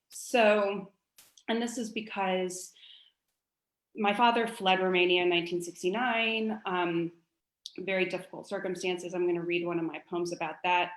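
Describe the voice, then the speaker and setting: American woman, conversational, in an echoey room. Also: medium pitch. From North Carolina, United States. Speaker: author and poet, guest. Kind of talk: casual personal storytelling at a book launch. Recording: roomy, echoey livestream audio.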